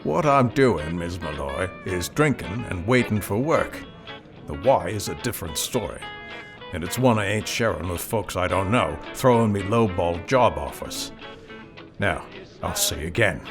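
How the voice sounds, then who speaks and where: Deep-voiced, gruff space cowboy. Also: male voice, expressive, normal speed, over music. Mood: indignant, stubborn. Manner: laconic, ornate old-time Western phrasing. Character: proud, gruff, hard-bargaining male space cowboy gunslinger for hire. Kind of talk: audio drama acting.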